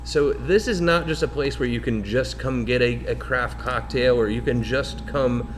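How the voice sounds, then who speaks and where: A man's voice: mid-range voice, moderate pace, background noise. A man hosting a live talk radio show from a bar, chatting in a rambling, self-correcting way.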